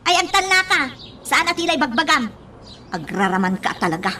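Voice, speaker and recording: A woman's voice: high-pitched, sing-song, fairly quick, pushed and bright, a little hiss or hum, full character voice. A woman acting a bossy, impatient elder in an Ilocano radio drama, insistent and exasperated. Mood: scolding, pushy. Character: bossy, impatient older sister figure, moody and pushy.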